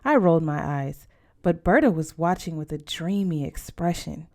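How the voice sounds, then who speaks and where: A woman's voice: low voice, animated, normal speed, darkish tone. A woman narrating a first-person story as an audiobook reader, in a scripted storytelling style.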